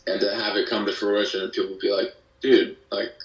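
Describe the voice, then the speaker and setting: A man with a fairly low voice talks casually as an interview guest, in loose slangy phrases that wander. He sounds a bit distant from the microphone, in a clearly echoey room. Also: little pitch movement, fairly quick. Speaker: podcast guest, musician and audio drama creator. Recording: roomy echo, distant from mic.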